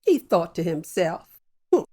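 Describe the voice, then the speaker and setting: A woman hosting a children's story show, telling a folktale with a lively, playful delivery and drawn-out phrasing. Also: lowish voice. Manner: warm animated storyteller with slow deliberate pacing. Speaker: storyteller host. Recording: soft music bed behind.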